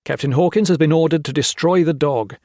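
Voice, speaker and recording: A worn-out, irritable army officer, as a male reader puts him on, sharp and commanding. His raised voice carries grit, recorded dry and close. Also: on the high side, brisk, no room sound, pitched above the performer's own voice. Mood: stern. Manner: angry, commanding, shouting. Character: exhausted, ill-tempered male officer.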